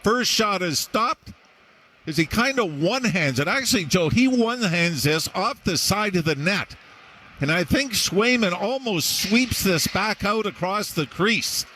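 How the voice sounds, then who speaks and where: A man's voice: high-pitched, expressive, a little slow, slight background noise. A man working as a radio hockey analyst, breaking down the game in a conversational, analytical manner. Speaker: colour analyst. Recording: radio aircheck with loud background sound.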